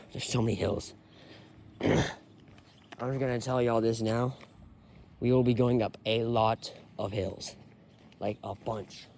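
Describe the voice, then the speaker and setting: A man's voice: medium pitch, a little mellow, slight background noise. A young man rambling on a solo walk with many fillers and sighs, recorded on a phone in his pocket outdoors. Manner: rambling, filler-heavy, sighing often. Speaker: vlogger. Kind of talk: rambling walking vlog. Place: outdoors on a quiet road.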